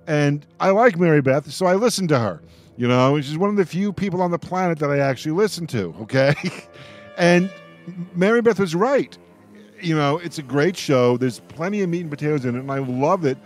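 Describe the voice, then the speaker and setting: A man's voice: a little high, lively intonation, a little fast, soft background music. A man hosting a radio roundtable in casual chat, talking emphatically and often repeating his phrases. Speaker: radio host moderating a roundtable.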